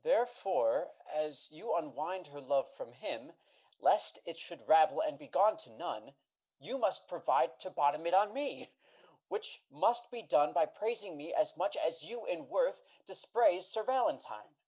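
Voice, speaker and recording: An eager, foolish gentleman suitor, played by a woman in a Shakespearean radio play. The voice sits low, full of self-importance as it speaks the verse. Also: animated, measured pace, low-quality recording. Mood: eager, self-important. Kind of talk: Shakespeare radio drama acting.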